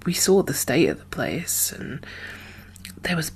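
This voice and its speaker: A woman with a low, breathy voice speaks softly in an ASMR roleplay, often slipping into a whisper. Her delivery is slow, gentle and halting, as a guarded, caring survivor of a zombie apocalypse. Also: very animated. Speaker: ASMR performer playing a role. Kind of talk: soft-spoken ASMR roleplay.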